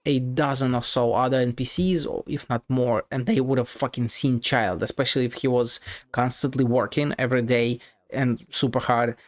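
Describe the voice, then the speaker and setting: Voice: medium pitch, fairly even intonation, normal speed, dry recording. A game streamer giving casual play-along commentary, muttering, thinking aloud and swearing now and then. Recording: game music behind voice. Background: video game music.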